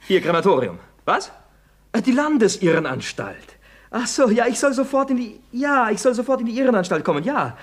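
A cheeky, sarcastic young son in an old radio comedy. His voice is husky and leaps about in pitch. He speaks with theatrical, mock-imperious flourish. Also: sing-song, fairly quick, heavily acted. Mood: flustered, brusque. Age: young adult. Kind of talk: 1960s radio play acting.

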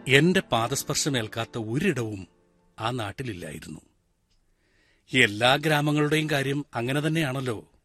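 A man's voice: mid-range voice, animated, measured pace, long pauses, a bit bright, soft background music. A wistful retired village postman. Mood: reminiscing. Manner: talks straight to the listeners, musing and lamenting. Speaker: actor in a one-man radio play. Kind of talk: 1990s-style radio monologue acting.

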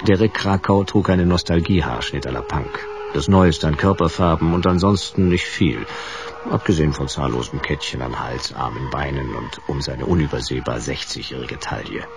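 A man's voice: very deep voice, normal speed, gravelly, music in the background. An actor playing a wry, world-weary private detective, narrating his case in a dry, laconic noir style for radio drama. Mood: dry, sardonic.

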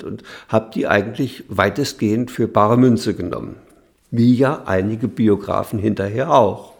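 A man being interviewed, reminiscing spontaneously in halting, circling sentences with small tag questions. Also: on the low side, gravelly.

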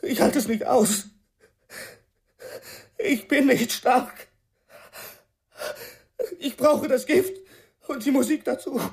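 A woman's voice: sits high, takes it slowly, pauses often, a little mellow. A woman playing a frail, desperate addict in a radio crime drama, plaintive and anguished.

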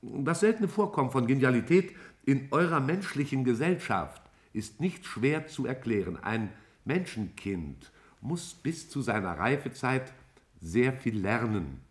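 A man playing a vast alien cloud intelligence in a 1950s German radio play, speaking in a formal, measured, didactic way. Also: mid-range voice, big pitch swings. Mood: didactic, detached.

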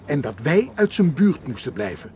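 A man's voice: on the high side, big pitch swings, normal speed, dark tone, slight background noise. An actor in a radio play playing a worried, cautious man who argues earnestly and insistently. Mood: earnest, persuasive. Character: worried, cautious male friend urging sense. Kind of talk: radio drama acting. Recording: radio play with crowd murmur behind.

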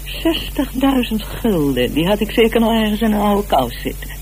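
An actress playing a haughty, wealthy suspect in a radio drama, answering in clipped, challenging phrases. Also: female voice, medium pitch, very animated, moderate pace, darkish tone, slight background noise, rough recording. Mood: defiant. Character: haughty, wealthy married woman, coolly defiant suspect.

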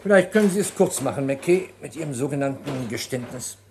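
An actor playing a stern public prosecutor in a radio crime play, clipped and commanding. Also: male voice, mid-range voice, lively intonation, fairly quick, noisy background, lightly acted. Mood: brusque, commanding. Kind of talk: vintage radio play acting.

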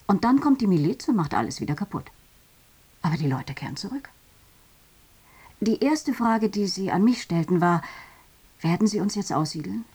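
A woman playing a sober, reflective journalist in a radio drama, speaking in a measured, husky voice. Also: medium pitch, darkish tone. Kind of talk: radio drama documentary monologue.

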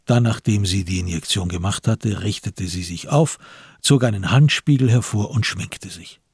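A man's voice: on the low side, moderate pace, darkish tone, husky, no echo, clean studio sound. A man narrating a radio crime drama in scripted, literary prose.

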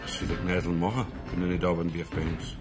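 A troubled, mild townsman played by a male actor in a radio drama. His voice is low and quick, with prominent music behind it. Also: flat delivery, natural voice. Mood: concerned. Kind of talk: 1970s German radio play acting.

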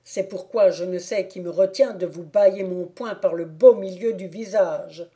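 A woman's voice: on the low side, unhurried, dark tone, close to an everyday voice. A woman voicing a drunken young clog-maker who is touchy, quarrelsome and boastful.

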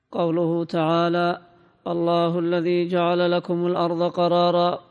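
A man reading a classical religious text aloud as a student, in a formal monotone recitation, recorded in a reverberant hall. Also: fairly high pitch, clear, resonant tone. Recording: reverberant hall with long echo.

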